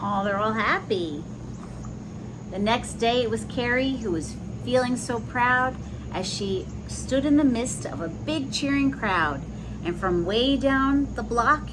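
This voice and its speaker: A woman with a somewhat high voice reads a picture book to young children. She moves between the story text and plain little explanations of the pictures. The recording has noticeable noise behind her. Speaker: host reading children's picture books aloud. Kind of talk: children's read-aloud with simple asides.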